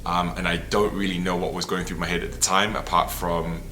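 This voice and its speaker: A man's voice: low-pitched, little pitch movement, fairly quick, a crowd in the background. A man, telling his life story to camera in a candid, reflective, casual way. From New Zealand. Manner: reflective and candid, loose and conversational. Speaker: travel content creator. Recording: voice over loud background music. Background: music.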